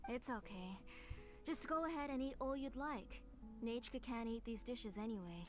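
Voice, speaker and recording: A woman playing a gentle, caring mother in an anime game, speaking in a soft, measured, earnest way. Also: on the high side, lightly acted. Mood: warm, hospitable. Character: gentle, sorrowful, caring mother of a sick child. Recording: game music behind.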